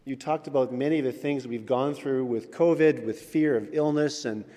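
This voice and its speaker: A man of middle pitch giving a chatty, story-filled sermon full of little fillers. His voice carries a long echo through the church hall. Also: moderate pace, dark tone. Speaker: church elder, preacher. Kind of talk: informal sermon with anecdotes.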